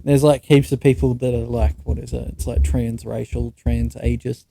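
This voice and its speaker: A man's voice: mid-range voice, flat delivery, normal speed, vocal fry, mellow, slight background noise. A young Australian man co-hosting a podcast, telling stories in a casual, sweary and blunt way. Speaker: podcast co-host. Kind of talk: casual chat, anecdotes with mates.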